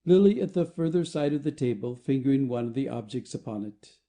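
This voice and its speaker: A man's voice: mid-range voice, expressive, brisk, warm tone. A man narrating an audio play, reading out scripted stage directions.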